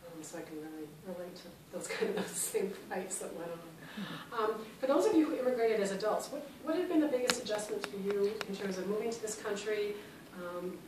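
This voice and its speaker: A woman's voice: mid-range voice, brisk, few pauses. A woman from Britain moderating a panel, relating to the speakers and asking follow-up questions. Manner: asks questions, relates to others. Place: large hall. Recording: echoey hall, mic far off, noisy.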